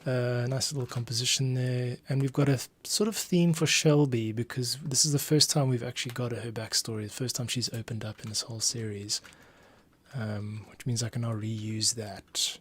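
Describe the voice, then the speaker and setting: A man's voice: mid-range voice, fairly even intonation, moderate pace. A man giving casual livestream commentary as a composer at work, muttering and thinking aloud. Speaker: composer demonstrating his workflow. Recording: clearly noisy room, keyboard clicks. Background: typing, clicks, background noise.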